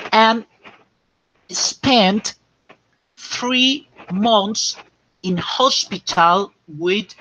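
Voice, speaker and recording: A man teaching English, dictating slowly and checking often that students understand. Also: high-pitched, sharp tone, slight background noise. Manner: slow and repetitive, checking understanding. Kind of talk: classroom dictation lesson. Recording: dull lo-fi classroom recording.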